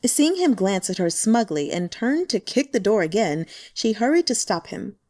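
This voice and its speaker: Female audiobook narrator: expressive storytelling, mellow tone, lively pitch movement. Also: normal speed.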